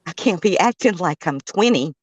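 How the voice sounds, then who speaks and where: A woman's voice: lowish voice, lively intonation, normal speed, no echo. A middle-aged woman talking as a guest on a stream from a parked car, playful and teasing. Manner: playful, teasing, laughs easily. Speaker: guest, host's mother.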